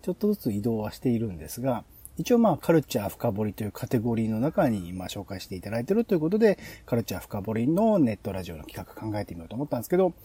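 A man hosting a podcast, casually explaining planning ideas to a co-host in an explanatory way with soft, trailing phrases. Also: lowish voice, very animated, very fast, hardly any pauses, slightly rough.

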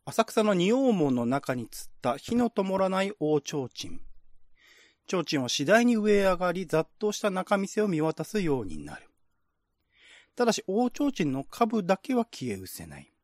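A man with a fairly high, very lively voice hosts and narrates a radio drama program. The sound is dry and close. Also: measured pace, no room sound. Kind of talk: casual hosting and dramatic script reading.

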